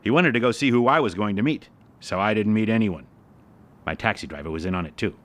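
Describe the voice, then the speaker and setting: A cheeky young man , acted by a man, with a lowish voice. He talks in terse, breezy slang, like a wisecracking son in a 1930s mystery. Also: quick pace, fairly bright tone, lightly acted. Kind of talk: audio re-creation of a 1930s mystery film.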